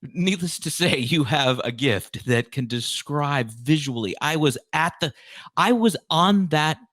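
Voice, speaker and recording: A man hosting an interview podcast in an earnest, effusive way, with deliberate phrasing. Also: on the high side, lively intonation, fairly bright tone, no room sound. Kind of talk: conversational interview.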